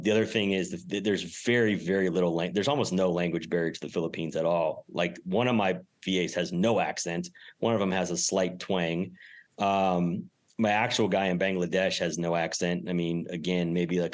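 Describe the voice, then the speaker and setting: A man speaking as an interview guest in casual, emphatic, informal talk about his own experience. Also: sits low. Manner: emphatic, informal, mild swearing. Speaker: podcast guest, real estate investor and business owner.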